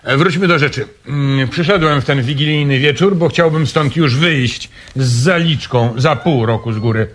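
An actor playing a smooth, menacing protection racketeer in a radio comedy, polite, calm and insinuating. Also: male voice, mid-range voice, moderate pace, close to an everyday voice. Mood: smooth, businesslike. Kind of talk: radio comedy drama acting.

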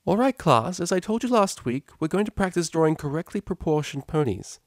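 A man voicing a kindly, easygoing older female pony who teaches art, with a gentle, friendly manner. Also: fairly high pitch, very animated, fairly quick, no room sound, studio quality, voice pushed up. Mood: addressing class. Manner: gentle, friendly, affectionate address.

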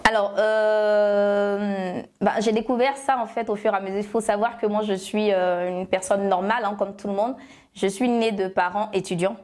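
A woman in her thirties from Côte d'Ivoire with an Ivorian French accent, chatting as an interview guest, full of fillers and self-corrections, on a lapel mic in a bright studio room. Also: medium pitch, steady tone, normal speed. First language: Agni. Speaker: guest, love shop founder. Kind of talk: relaxed interview answers.

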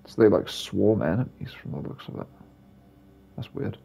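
A man talks casually through a game playthrough in a rambling, offhand way. His voice is lowish, creaky and dark, with soft music in the background. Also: animated, normal speed. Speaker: gaming video creator.

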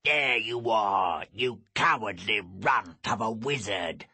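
A man voicing a menacing villain warrior in an audiobook story, booming and taunting with scorn. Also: bright, forceful tone, slightly rough, no room sound, exaggerated voice. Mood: scornful. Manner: taunting, drawn-out.

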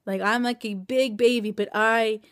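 A woman of twenty talking into a phone camera at home at night, tired and in pain after surgery, rambling with many halting restarts. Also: fairly high pitch, steady tone, fairly bright tone, dry recording, studio quality. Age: 20s. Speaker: vlogger. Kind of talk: casual video diary. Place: small room indoors at night. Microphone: phone camera held close.

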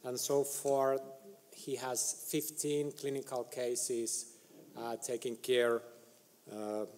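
A middle-aged man chairing a medical conference session, introducing speakers with many hesitant pauses. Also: medium pitch, little pitch movement, darkish tone. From Finland. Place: large conference hall stage. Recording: reverberant hall.

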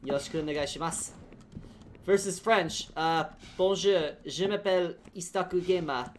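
A man's voice: a little high, moderate pace, a little hiss or hum. A young man streaming a game as a VTuber, chatting casually with his chat and laughing often. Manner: chatty, giggly, talks to chat. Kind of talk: casual gameplay livestream chat.

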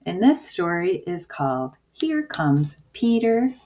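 Lady teacher doing a kids video lesson, talks slow and simple, kinda dull lo-fi sound. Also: fairly low pitch, big pitch swings. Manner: simple, deliberate phrasing addressed to children. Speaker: early-years school teacher. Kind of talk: video lesson for young children. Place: indoors at a table, homemade video. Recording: dull lo-fi sound, clear noise.

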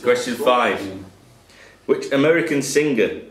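A man's voice: medium pitch, a little slow, crowd noise. A man with a Northern English accent reading quiz questions to players in a social club, in a dry, plain way. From England. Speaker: quizmaster. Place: social club function room with a table of players. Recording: roomy hall sound with faint background noise.

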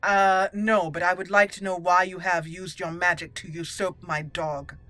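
A woman's voice: lowish voice, level tone, moderate pace, a bit bright. A woman hosting a casual let's play, chatty and reactive, thinking aloud as she plays. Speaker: gaming channel host.

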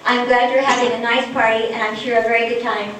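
A woman's voice: fairly high pitch, flat delivery, fast talker. An older woman at a podium mic in a church hall, reading a letter aloud and hosting a party program in a chatty, searching way. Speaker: program emcee. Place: church hall in front of a curtain. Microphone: stand mic at a podium. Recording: echoey hall, far off, noisy.

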